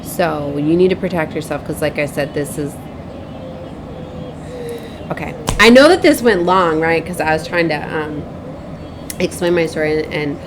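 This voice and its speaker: Woman, slightly low pitch, casual spiritual vlogger, rambling, repetitive, seeking agreement, noisy recording with vehicle-like rumble. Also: normal speed, long pauses. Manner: rambling, repetitive, frequently seeks agreement with tag questions. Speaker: spiritual advisor and tarot reader, host. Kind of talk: casual rambling spiritual vlog with personal stories.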